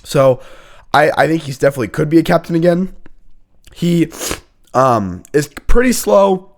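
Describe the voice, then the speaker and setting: Hoarse sick young man hosting a sports show. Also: fairly high pitch, lively intonation, normal speed. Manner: rambling, many fillers and restarts, clearing throat. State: sick, hoarse, losing his voice. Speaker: host. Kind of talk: casual sports commentary.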